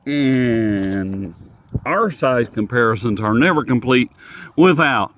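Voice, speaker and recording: Man with animated, folksy delivery. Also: medium pitch, slow pace, a little hiss or hum. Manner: folksy, jokey, rambling. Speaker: host of radio review videos. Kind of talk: casual unscripted product review.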